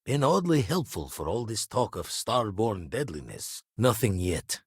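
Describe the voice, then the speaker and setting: Husky old space pirate, animated pitch. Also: male voice, unhurried, no echo, clean studio sound, exaggerated voice. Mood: businesslike, measured. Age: older. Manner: clipped, folksy phrasing with dropped subjects. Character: old retired space pirate turned astronomer, wry, reflective. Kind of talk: video game character voice acting.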